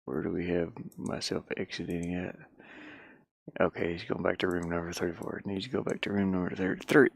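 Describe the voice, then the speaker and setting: A man with a fairly low voice and a gravelly fry talks his way through a task on screen. His delivery is casual and halting, often muttered to himself, and the sound is close and dry. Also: steady tone, no room sound. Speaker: hobby game developer. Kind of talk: casual think-aloud screen-recording commentary.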